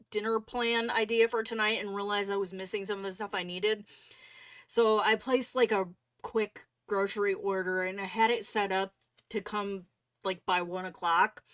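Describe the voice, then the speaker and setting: A woman with a medium-pitched voice hosts a casual livestream. She talks informally and haltingly, as if chatting with regulars. The sound is dry, with very little room in it. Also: no room sound. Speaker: livestream host.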